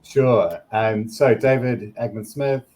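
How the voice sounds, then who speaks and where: A man with a New Zealand accent, introducing himself as a guest on a video-call interview in a relaxed, explanatory way. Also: mid-range voice. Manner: relaxed, explanatory, with some quick bursts. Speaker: guest, product director. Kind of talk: conversational self-introduction.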